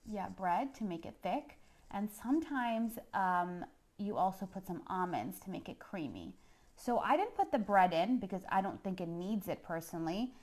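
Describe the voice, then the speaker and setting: Middle aged lady chef from puerto rico doing a casual live cooking demo, chatty, talks right to viewers, says okay a lot. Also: medium pitch. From San Juan, Puerto Rico. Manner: chatty, informal, addresses viewers directly with frequent "okay?" tags. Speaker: chef hosting a cooking show.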